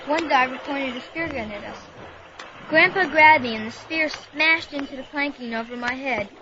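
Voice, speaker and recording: A woman's voice: high voice, moderate pace, bright, forceful tone, slight background noise. A woman playing an earnest, frightened young boy who narrates an adventure story dramatically. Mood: frightened, urgent. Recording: dull lo-fi recording, music behind.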